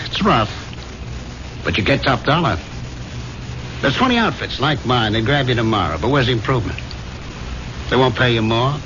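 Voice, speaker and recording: A man's voice: mid-range voice, sing-song, moderate pace, pauses often, close to an everyday voice. An actor playing a brash, domineering businessman in a 1970s radio drama, with glib, slangy, overbearing patter. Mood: lecturing, scornful. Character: brash, fast-talking, domineering businessman boss. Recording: dull, noisy old radio broadcast recording.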